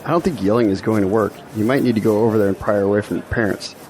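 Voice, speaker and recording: A man's voice: fairly low pitch, very fast, a little mellow, natural voice. An actor playing a self-deprecating young male graduate in an audio drama, with a dry delivery. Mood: joking. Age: young adult. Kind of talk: scripted audio drama dialogue. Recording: faint outdoor background noise.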